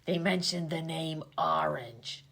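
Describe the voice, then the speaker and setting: A woman voices a fed-up sidekick in a radio comedy. The voice is low and creaky, delivered with weary deadpan grumbling. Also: takes it slowly, exaggerated voice. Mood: weary, irritated. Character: grumpy, fed-up sidekick longing for quiet. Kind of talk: comedy radio-play acting.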